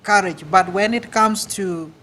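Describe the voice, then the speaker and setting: Man, Ugandan accent, halting, earnest, repeats words, live talk, slight background noise. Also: sits high, pushed and bright. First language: a Ugandan language. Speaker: speaker. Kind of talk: public speaking Q&A. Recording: noisy live event.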